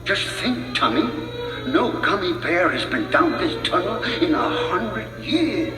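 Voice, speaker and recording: A man's voice: sits high, sing-song, unhurried. A man voicing an anxious, well-meaning cartoon bear in a storybook, flustered and hesitant. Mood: musing. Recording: roomy, distant, loud music behind. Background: music.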